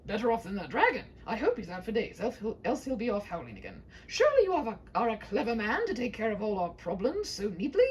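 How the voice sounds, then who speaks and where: Lady doing a huffy old storybook king, effusive dad type, super animated, bit of background noise. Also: fairly high pitch, quick pace, few pauses, highs cut off. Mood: hearty, effusive. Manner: exclamatory, effusive, blustering. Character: huffy, absent-minded, doting old king and father. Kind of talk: humorous fairy-tale storybook reading.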